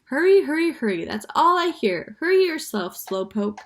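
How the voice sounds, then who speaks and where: A woman's voice: big pitch swings, moderate pace. A woman voicing a rude, bossy pet parrot that squawks and repeats its taunts. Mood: squawking taunts. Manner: shrill, repeats taunts over and over. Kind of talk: storybook read-aloud.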